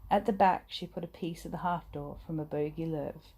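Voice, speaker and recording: A woman reading a children's bedtime story aloud in an expressive, acted-out manner. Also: deep voice, normal speed, creaky, slightly rough, low-quality recording. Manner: animated, acts out dialogue and sound words. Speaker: storybook reader.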